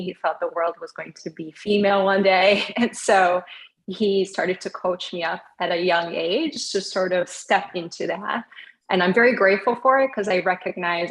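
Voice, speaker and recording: A woman's voice: on the low side, rough recording. A woman from Brazil, speaking as a panelist, telling her career story in an earnest, reflective way with light laughs. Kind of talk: panel discussion, personal story.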